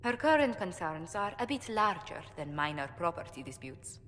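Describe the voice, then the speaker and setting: A woman playing a poised, shrewd ambassador in a video game cutscene, speaking in a formal, tactful, measured way. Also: fairly low pitch, big pitch swings, a bit bright, long reverb tail, slight background noise. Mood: composed.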